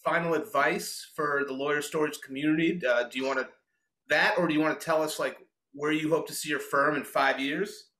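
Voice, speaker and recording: A man's voice: on the high side, fairly even intonation, a little fast, pushed and bright. A man in his forties hosting a casual interview podcast over a video call, speaking into a desk microphone. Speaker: podcast host. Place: small indoor office, video call. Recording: echoey room on video call.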